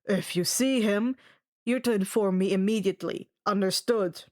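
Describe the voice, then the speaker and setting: A woman's voice: mid-range voice, normal speed, darkish tone, lightly acted. A woman voicing a curt, stern, irritable man who runs a camp, in audiobook-style character dialogue. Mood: commanding. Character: gruff, irritable male camp director, curt and commanding.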